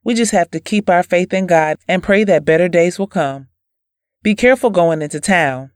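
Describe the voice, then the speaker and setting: Gentle young wife, lowish dry voice woman acting. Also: moderate pace, smooth, no echo, close to an everyday voice. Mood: sympathetic. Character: devoted, trusting, pious young farm wife. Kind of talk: audio drama acting.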